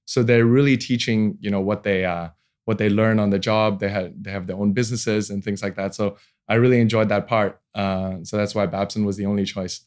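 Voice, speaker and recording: A relaxed man in his forties, from Hong Kong, speaking in a fairly low voice. He talks about his career in a loose, conversational way, full of ums and restarts, and laughs easily. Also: fairly quick. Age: 40s. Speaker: venture capital founder, guest. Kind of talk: casual interview talk.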